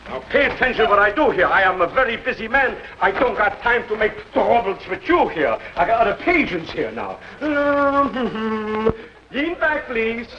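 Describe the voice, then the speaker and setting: A fussy, chuckling dentist with a thick German accent in a vintage comedy sketch. He talks very high, and his bossy orders swing up and down. The sound is dull and lo-fi, with a steady hiss under it. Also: moderate pace. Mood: coaxing. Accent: German, put on. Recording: dull lo-fi old recording with hiss.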